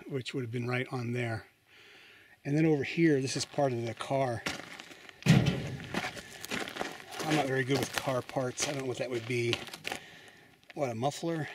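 A man's voice: mid-range voice, background noise. A man casually narrating as he explores an abandoned mine and desert, thinking aloud with hesitant, musing remarks. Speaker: explorer vlogger.

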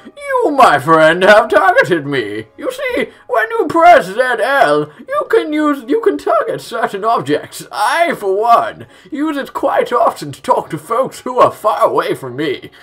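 A man's voice: very high-pitched, huge pitch swings, fairly bright tone, voice pushed up, full character voice. A man voicing an eccentric, self-satisfied old man in a video game, drawing out his words pompously. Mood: pompous, instructive. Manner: pompous, drawn-out.

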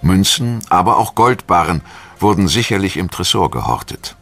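A man reading scripted documentary narration in a measured, formal manner, as a studio voice-over. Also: low-pitched, animated, fairly bright tone. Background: music.

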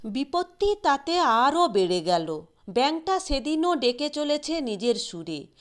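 Female audiobook-style narrator for literary fiction. High pitch, slow measured delivery. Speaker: audio story reader.

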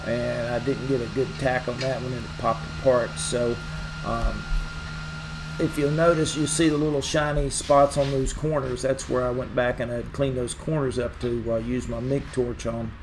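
A man's voice: medium pitch, little pitch movement, moderate pace. A middle-aged man casually narrating his welding work, rambling with lots of uhs and ums. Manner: rambling, many uhs and ums, plain-spoken. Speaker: hobby welder and channel host. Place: large open garage workshop. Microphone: camera mic at a distance, close selfie at start. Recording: workshop noise behind, distant mic.

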